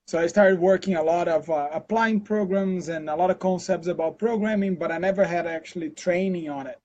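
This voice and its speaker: High-voiced Brazilian man on a video call. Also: brisk. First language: Portuguese. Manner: relaxed, chatty, many fillers and restarts. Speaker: interview guest, scientist. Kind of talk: casual video call interview. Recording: dull lo-fi call audio.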